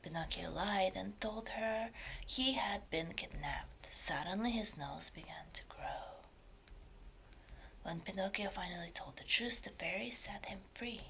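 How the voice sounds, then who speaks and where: A woman reading a fairytale as ASMR in a breathy whisper, slowly and deliberately. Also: medium pitch, a bit bright, slight background noise, poor audio. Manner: slow, deliberate storytelling delivery. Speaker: ASMR creator and story reader.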